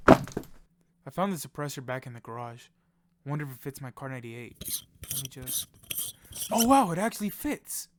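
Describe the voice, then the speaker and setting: A man playing an anxious, loyal, excitable friend in an amateur survival audio drama. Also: medium pitch, moderate pace, long pauses, heavy background noise, lightly acted. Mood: earnest. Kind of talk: amateur audio fiction dialogue.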